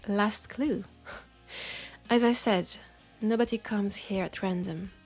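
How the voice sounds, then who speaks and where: Slow, husky French witch, played by a woman. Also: mid-range voice, fairly even intonation, darkish tone, soft background music. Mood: knowing, mysterious. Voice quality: husky, breathy with creak. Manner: unhurried, teasing, dramatic pauses. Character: knowing, teasing, compassionate witch in a secluded werewolf town. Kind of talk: intimate roleplay audio drama, speaking to the listener.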